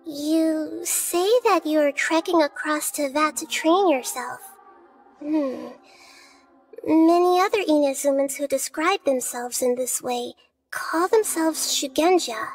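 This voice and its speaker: A woman voicing a small, gentle child goddess of wisdom in a video game, soft and childlike, measured and philosophical. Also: high-pitched, rough recording. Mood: thoughtful.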